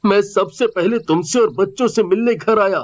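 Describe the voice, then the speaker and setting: A man voicing an anguished, loving husband in a cartoon, speaking in a halting, emotional way. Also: sits high, muffled recording, lightly acted. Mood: earnest.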